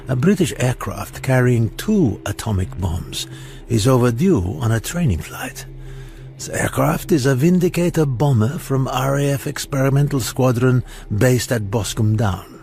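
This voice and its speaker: An actor playing a cold extortionist in a radio drama, reading out a threatening letter in a measured, formal manner. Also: male voice, mid-range voice, creaky, darkish tone.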